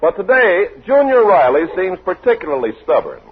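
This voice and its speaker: Theatrical male radio announcer, hugely animated pitch. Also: a little fast, husky. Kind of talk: 1940s radio announcing, scripted advertising and narration. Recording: tinny 1940s radio broadcast with music.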